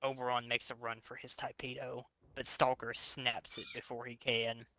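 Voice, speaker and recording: A man's voice: medium pitch, flat delivery, moderate pace, bright, forceful tone, dry recording. A man hosting a reading of fan fiction aloud, breaking off into sarcastic, sweary commentary. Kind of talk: mocking dramatic reading with casual commentary.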